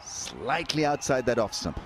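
A man giving live cricket commentary, calling scores and figures and getting excited when wickets fall. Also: medium pitch, a little slow, slight background noise. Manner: excitable, rises sharply on wickets.

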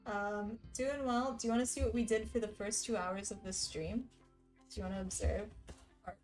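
A woman's voice: medium pitch, darkish tone. A young woman streamer chatting casually and reading viewer messages aloud while she embroiders, with frequent little laughs. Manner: reads chat messages aloud, chatty and giggly. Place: indoor room.

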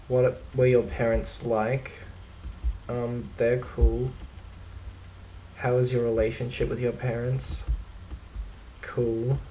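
A young man with an Australian accent, talking casually to his webcam in a dry, deadpan, self-mocking way while answering a list of questions. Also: medium pitch, a little hiss or hum, rough recording. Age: young adult. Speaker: vlogger. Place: small indoor room.

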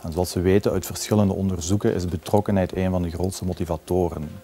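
Flemish man, 40s, low and even voice, warm dark tone, confident corporate talk, faint music behind. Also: fast talker. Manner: confident, promotional phrasing. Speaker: company executive. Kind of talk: corporate interview. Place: office with glass wall. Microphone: lapel mic.

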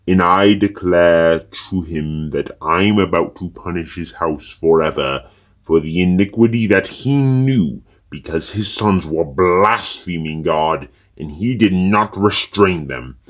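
A man voicing God pronouncing judgment, grave, solemn and deliberate, in a Bible audio drama. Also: low voice. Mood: grave pronouncement.

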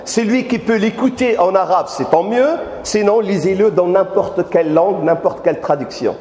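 Animated older preacher, high voice, echoey hall. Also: male voice, normal speed. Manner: animated, addresses audience directly with questions. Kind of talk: mosque lecture. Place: mosque hall. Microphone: handheld mic. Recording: echoey hall with long reverb.

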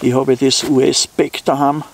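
A man's voice: mid-range voice, level tone, unhurried, husky. A man with an Austrian accent talks casually and unscripted to his viewers in an easygoing, rambling way, as an outdoor vlogger. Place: outdoors in snowy woods.

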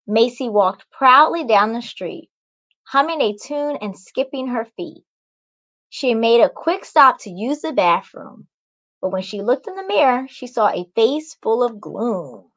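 Young woman reading a storybook, highish voice. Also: expressive, unhurried, a bit bright. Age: young adult. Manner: explains to young listeners in simple asides. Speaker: children's author reading her book. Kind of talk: children's storybook read-aloud. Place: small indoor room.

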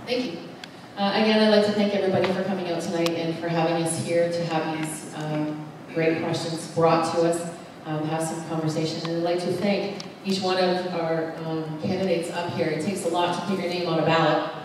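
A woman's voice: on the low side, little pitch movement, brisk. A woman, a political candidate, politely opening her closing statement. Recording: echoey hall from far off, background noise.

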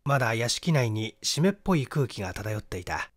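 A man's voice: mid-range voice, sing-song, normal speed. A man giving a dramatic scripted reading of a classic mystery novel as an audiobook narrator. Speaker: audiobook reader, small-theater actor.

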